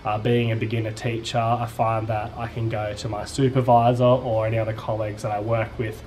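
A man's voice: lowish voice, fairly quick, rough recording. A young Australian man, a primary teacher from New South Wales, talking casually to camera in a classroom with plenty of ums. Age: young adult. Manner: relaxed, with frequent ums and uhs. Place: classroom with space posters.

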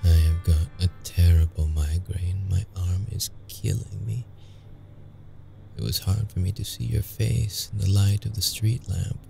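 A deep-voiced, brooding male vampire, acted by a man for an ASMR roleplay, wounded yet seductive. He speaks in a dark, mellow tone with heavy gravel, keeping it intimate and guarded. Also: little pitch movement, soft background music, full character voice. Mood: pained, weary, then coaxing, intimate. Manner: slow, halting, intimate delivery. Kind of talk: ASMR audio roleplay acting.

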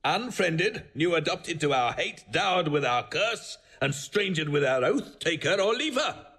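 Gravelly, volatile old king. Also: fairly high pitch, big pitch swings, measured pace, fairly bright tone, full character voice. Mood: bitter, scornful. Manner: declamatory, grand, commanding. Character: proud, wrathful old king, imperious and volatile. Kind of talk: classical Shakespearean audio drama acting.

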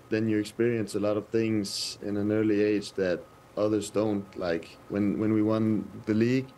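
Low-pitched, monotone, Danish accent, young man, soft-spoken, webcam sound. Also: a little hiss or hum, poor audio. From Denmark. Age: 20s. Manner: soft-spoken, hesitant with many ums and restarts. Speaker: footballer guest. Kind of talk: remote interview answers. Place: indoor press room with sponsor backdrop. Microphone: webcam video call.

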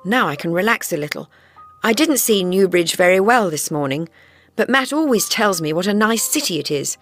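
A man voicing a quick-thinking teenage girl who talks nervously in a storybook reading. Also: high-pitched, lively intonation, a bit bright, soft background music, voice pushed up. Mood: relieved. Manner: nervous, careful.

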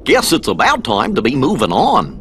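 An actor playing a good-natured, slow-witted cartoon dog in a video game, dopey, folksy and earnest. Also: male voice, medium pitch, huge pitch swings, pushed and bright, a little hiss or hum. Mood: earnest, puzzled.

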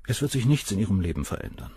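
A man's voice: deep voice, big pitch swings, fast, mellow, slightly rough, dry recording, clean studio sound, full character voice. An actor in a German crime radio play playing a cold, cynical middle-aged surgeon, detached and coolly superior as he answers questions. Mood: detached, explanatory.

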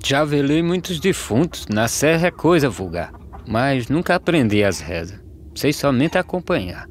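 A man playing a poor, weary migrant farm labourer, speaking measured verse in an animated film. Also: mid-range voice, a little hiss or hum, natural voice. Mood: plain, resigned. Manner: measured, declaimed rhyming delivery. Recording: music behind the voice.

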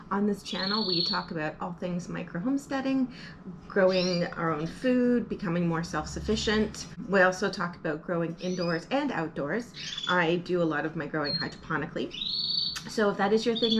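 A woman in her thirties hosting a gardening channel, giving a casual, upbeat tour of her indoor plants. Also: fairly low pitch. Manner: chatty and upbeat, walking through her plants. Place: house interior, rooms and furnace room. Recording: noisy home room with furnace hum.

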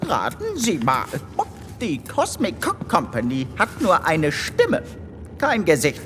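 A woman's voice: sits low, wildly swooping pitch, slow, a bit bright, exaggerated voice. An actress playing a sarcastic, disdainful female guide in an audio drama. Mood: scornful. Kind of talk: German audio drama acting. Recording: long reverb, faint music.

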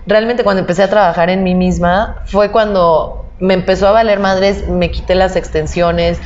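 A woman's voice: on the low side, fairly even intonation, normal speed, darkish tone, lo-fi sound, a little hiss or hum. A young woman with a Mexican accent chatting casually on a podcast, telling stories in a candid, slangy way. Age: young adult. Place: indoor podcast set at a table. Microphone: lapel mic.

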